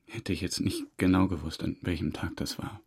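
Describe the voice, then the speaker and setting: An actor playing a defensive, wounded murder suspect in a crime drama, halting, self-pitying and insistent on his honesty. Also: deep voice, fairly even intonation, vocal fry, darkish tone, husky, exaggerated voice. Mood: halting, dejected. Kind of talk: German crime radio drama dialogue.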